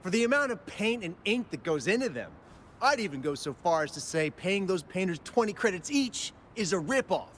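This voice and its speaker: A rude gang thug, high jumpy voice, faint noise behind. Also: male voice, very animated, brisk, a bit bright. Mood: mocking. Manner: blunt, mocking, impatient. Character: brash male thug from a smuggling gang.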